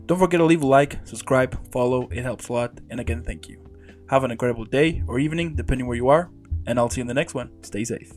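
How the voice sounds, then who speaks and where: A man's voice: medium pitch, quick pace, darkish tone, soft background music. A young man hosting a casual interview show, upbeat and effusive, piling on superlatives. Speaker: podcast host.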